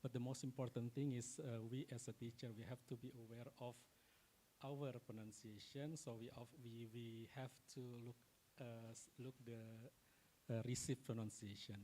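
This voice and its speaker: Male moderator; measured, filler-heavy, warm dark tone. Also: medium pitch, flat delivery, slight background noise. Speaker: conference moderator. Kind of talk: unscripted conference moderation.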